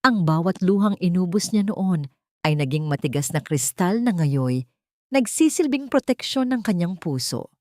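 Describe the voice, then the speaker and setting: Woman, low voice, solemn storyteller, deliberate dramatic narration, dry sound. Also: lively intonation, no room sound.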